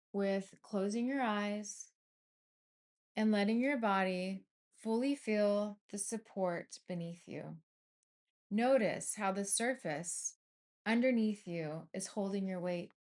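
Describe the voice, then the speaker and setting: Woman guiding a meditation, lowish voice. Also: a little mellow. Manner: soothing, unhurried phrasing with frequent pauses. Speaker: meditation guide. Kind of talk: guided meditation.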